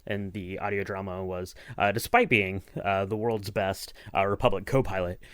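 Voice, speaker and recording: A man's voice: low voice, steady tone, normal speed, a bit bright, smooth, dry recording, studio quality. A man co-hosting a podcast, chatting casually and rambling with lots of hedges. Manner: rambling, hedging with kind of and you know. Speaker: podcast host and sound designer. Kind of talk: casual behind-the-scenes chat.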